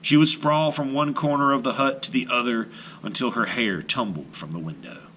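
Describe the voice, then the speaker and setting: A man's voice: medium pitch, moderate pace, a bit bright, slight background noise, poor audio. A man reading a folktale aloud to children in a theatrical, emphatic storytelling style. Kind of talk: dramatic children's read-aloud narration.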